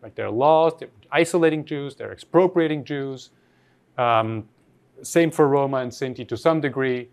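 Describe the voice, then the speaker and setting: A man's voice: medium pitch, moderate pace, clear, resonant tone. A man from Austria, giving an academic lecture in a thoughtful way, often rephrasing mid-sentence and putting questions to his audience.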